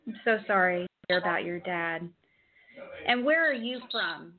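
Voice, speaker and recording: Woman hosting a show on a video call, halting, tinny phone line. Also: fairly low pitch, expressive. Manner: hesitant, many ums and restarts. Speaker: host. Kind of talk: radio talk show hosting.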